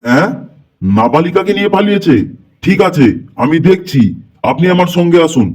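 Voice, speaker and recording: A man's voice: high-pitched, expressive, fairly quick, darkish tone. A man playing a stern police officer in an amateur cartoon, with music behind him. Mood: businesslike. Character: stern male police officer taking complaints. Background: background music.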